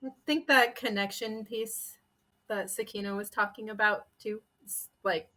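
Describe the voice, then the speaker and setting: A woman's voice: medium pitch, fairly even intonation, unhurried, sharp tone. A woman giving a casual answer in a panel discussion.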